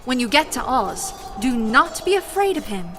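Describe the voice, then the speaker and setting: An actress playing an earnest, protective good witch in an audio drama, with brisk, formal, theatrical phrasing. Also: fairly high pitch, sing-song. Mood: solemn, instructing. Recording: music behind the voice.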